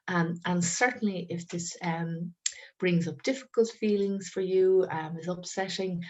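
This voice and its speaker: Woman w a head cold, croaky, low-ish voice, gentle careful webinar talk over a call. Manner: gentle, careful, many ums. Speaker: trainer and guest speaker. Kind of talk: webinar presentation.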